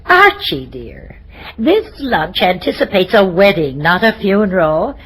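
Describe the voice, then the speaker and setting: An actress playing a sensible, wry middle-aged aunt in old-time radio comedy style. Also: female voice, medium pitch, sing-song, unhurried, a bit bright. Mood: soothing, brisk.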